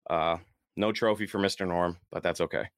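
Deep-voiced man, a bit hoarse, chatting casually. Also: steady tone, a little fast, no room sound, studio quality. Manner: easygoing, chuckles often, rambling enthusiast. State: hoarse, worn-out voice. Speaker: host of a car enthusiast show. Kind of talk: casual solo chat to listeners.

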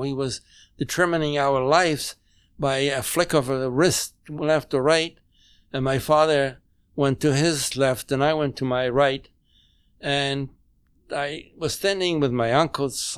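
A man in his nineties who grew up speaking German, recounting memories in a halting, measured way with many restarts, at home. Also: medium pitch, smooth, no room sound. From Poland. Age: 90s. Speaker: interview guest. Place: home dining room.

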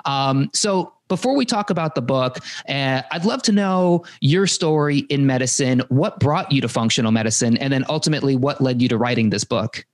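A man's voice: mid-range voice, moderate pace, hardly any pauses. A man hosting a podcast, asking a guest friendly, curious questions with a few ums and uhs. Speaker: podcast host. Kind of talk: interview question.

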